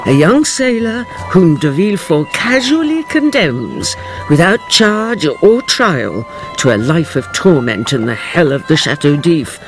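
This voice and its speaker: A theatrical storyteller, somewhat high and sweeping widely in pitch. He narrates a radio drama in ornate, confiding phrases over soft music. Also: very animated, measured pace, poor audio. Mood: grave, relishing. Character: ornate, confiding storyteller addressing honoured friends.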